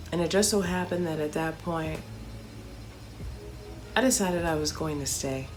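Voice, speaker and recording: A woman from Chicago, a comedian, narrating a travel story in a chatty, animated storytelling way with playful asides. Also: fairly low pitch. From Chicago, United States.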